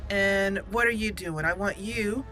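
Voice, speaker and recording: A woman's voice: medium pitch, measured pace, pushed and bright. A woman hosting a gaming channel, giving chatty, exclamatory gameplay commentary and talking to the characters as she plays. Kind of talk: casual gameplay commentary with roleplay. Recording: game music behind.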